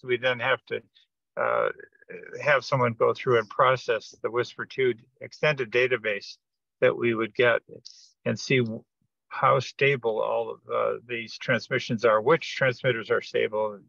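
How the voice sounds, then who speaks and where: A man with a creaky, bright voice explains technical details on a teleconference. He speaks haltingly, doubling back over words. The audio is dry and somewhat dull. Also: medium pitch, steady tone, dry recording. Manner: halting, hesitant delivery with repeated words. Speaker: project participant giving an update. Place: remote teleconference.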